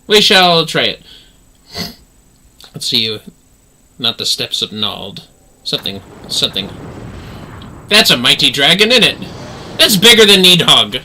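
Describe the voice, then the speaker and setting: A man's voice: medium pitch, bright, forceful tone, low-quality recording. A man livestreaming a video game, giving casual running commentary as he plays.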